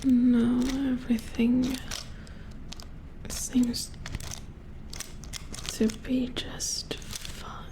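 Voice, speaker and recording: A woman playing a calm, methodical robot repair engineer in a soft-spoken ASMR roleplay, murmuring with concentration close to the microphone. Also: a little high, drawn-out words, long pauses. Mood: calm, focused.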